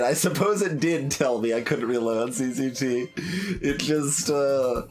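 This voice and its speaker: A man giving casual live commentary as he plays a game, hesitantly thinking aloud. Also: medium pitch. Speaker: streamer playing a game. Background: game music.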